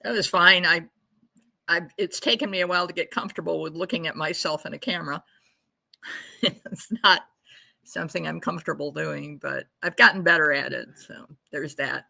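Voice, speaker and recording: A woman's voice: low-pitched, normal speed, creaky, bright, forceful tone. A woman from the United States co-hosting a podcast in casual chat, talkative and plainspoken. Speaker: podcast co-host.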